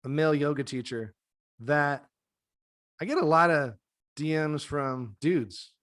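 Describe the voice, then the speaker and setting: A man in his thirties, a yoga teacher, jokes in a relaxed way on a podcast video call. His voice is soothing and slightly higher than average. It comes through a close desk mic in a dim home room, so it sounds dry. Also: unhurried, no room sound. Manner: joking, laid-back, soothing. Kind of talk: casual podcast chat.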